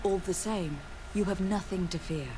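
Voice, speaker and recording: A woman playing a poised, firm young noblewoman envoy in a video game, speaking in a formal, measured way. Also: lowish voice, background noise, natural voice. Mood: composed, formal.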